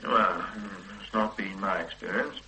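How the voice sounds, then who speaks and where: An actor playing a measured, dryly authoritative police detective in a radio drama. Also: male voice, low voice, fairly even intonation, pushed and bright, a little hiss or hum, heavily acted, poor audio. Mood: probing. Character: methodical middle-aged police detective superintendent. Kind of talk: 1970s radio drama acting.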